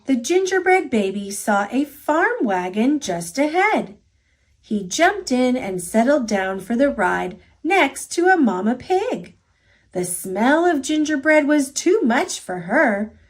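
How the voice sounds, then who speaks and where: A woman with an American accent reading a children's story aloud in an expressive, deliberate manner. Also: sits high. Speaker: storybook reader.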